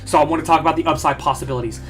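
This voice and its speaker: A man hosting a market analysis channel, slightly hoarse, talking bluntly and emphatically with lots of fillers and repeated phrases. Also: fairly high pitch, fairly even intonation, rapid-fire, faint music behind. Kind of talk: casual chart analysis talk.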